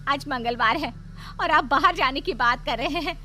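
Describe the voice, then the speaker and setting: A woman's voice: sits high, normal speed, pushed and bright. A woman voicing an anxious, devoted village wife in a cartoon story, with a pleading, melodramatic delivery. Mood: worried, pleading.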